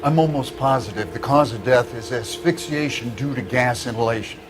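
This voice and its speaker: An actor playing a middle-aged fire investigator, explaining matter-of-factly in a crime video game. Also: male voice, mid-range voice, normal speed, lightly acted.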